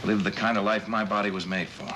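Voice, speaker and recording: A man's voice: fairly low pitch, level tone, quick pace, bright, forceful tone, slight background noise, low-quality recording. An actor playing a bitter, wisecracking man in his forties who is fed up with his life, in a 1970s TV movie. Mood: wistful. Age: 40s. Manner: wisecracking, sarcastic, exasperated. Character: bitter, sardonic middle-aged man in therapy.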